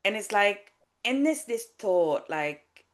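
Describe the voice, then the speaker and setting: A woman's voice: medium pitch, very animated, slow. A woman from Sri Lanka, a life coach, telling her personal story candidly in a podcast interview, with many restarts and fillers. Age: adult with a child.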